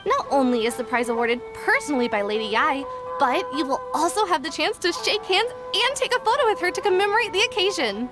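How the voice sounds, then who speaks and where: A woman's voice: extremely high pitch, big pitch swings, fairly quick, over music. An actress playing a courteous, cheerful young prize attendant in a video game, formal in her customer service. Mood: bright, formal.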